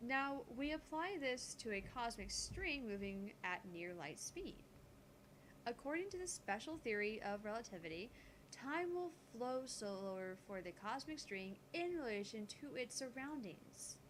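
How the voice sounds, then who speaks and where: A woman voicing a calm, gutsy teenage genius girl who lectures on physics in a visual novel. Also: on the high side, unhurried, a little hiss or hum, low-quality recording. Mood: explanatory. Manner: explanatory, lecturing.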